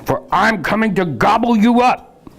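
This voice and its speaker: A man voicing a mean, ugly bridge troll from a storybook, roaring out slow threats. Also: sits high, expressive, vocal fry, fairly bright tone, voice pushed up, heavily acted. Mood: menacing.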